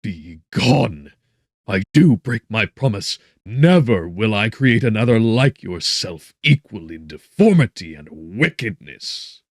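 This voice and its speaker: A man playing a defiant, anguished scientist in a gothic audiobook, declaiming with forceful indignation. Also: on the low side, very animated, slow pace, dry recording, studio quality, pitched above the performer's own voice, exaggerated voice. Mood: furious. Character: defiant, anguished male scientist confronting his creation. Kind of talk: dramatic gothic audiobook acting.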